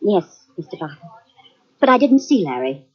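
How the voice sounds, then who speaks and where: A woman's voice: lowish voice, very animated, slightly rough. An actress playing a tense, defensive widow who falters as she talks, in a 1940s radio drama. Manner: halting, defensive. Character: tense wealthy widow under suspicion. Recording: dull lo-fi old radio recording.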